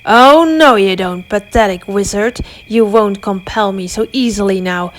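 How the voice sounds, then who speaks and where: A woman voicing a cruel male werewolf who snarls loud threats at a wizard. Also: medium pitch, unhurried, lightly acted. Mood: taunting.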